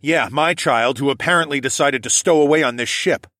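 A man acting an animated, protective adventurer father. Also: medium pitch, normal speed, no echo, clean studio sound, close to an everyday voice. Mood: defensive. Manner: emphatic, shouting over others.

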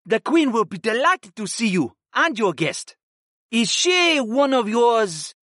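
A man voicing a deferential city guard who speaks slowly and formally, in an audiobook. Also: very high-pitched, very animated, sharp tone, no room sound, clean studio sound, pitched above the performer's own voice, full character voice. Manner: slow, formal and courteous.

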